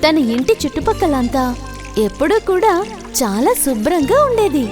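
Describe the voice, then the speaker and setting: A woman narrating a scripted animated story for children. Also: sits high, moderate pace, music in the background.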